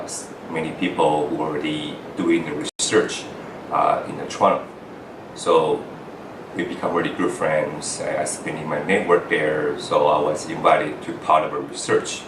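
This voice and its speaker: Very deep-voiced man, halting, from Taiwan. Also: little pitch movement, echoey room, distant mic, noisy background. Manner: halting, frequent "uh" fillers and restarts. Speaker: interviewee, foreign-trained doctor. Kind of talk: earnest personal account to camera.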